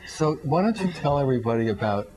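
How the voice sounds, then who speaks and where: A man hosting a talk show, interviewing his guest casually with quick, joking interjections. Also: on the high side, expressive, a little mellow, rough recording.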